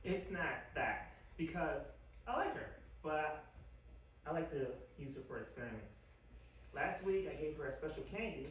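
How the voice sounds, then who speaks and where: Echoey, deadpan wicked male scientist, acted at a table read. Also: mid-range voice, normal speed, natural voice. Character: wicked scheming male scientist, awkward and evasive. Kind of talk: animated comedy table read. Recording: echoey room, distant mic, faint noise.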